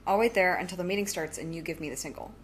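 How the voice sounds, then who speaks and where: A woman's voice: fairly low pitch, racing, slight background noise, natural voice. A young woman playing a driven, defiant student supervillain and inventor in an audio drama, speaking with insistent earnestness. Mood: determined.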